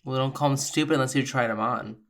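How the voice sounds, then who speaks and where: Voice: medium pitch, fast talker, fairly bright tone, lightly acted. A tabletop player voicing a defensive, easily needled youngster with a blunt manner.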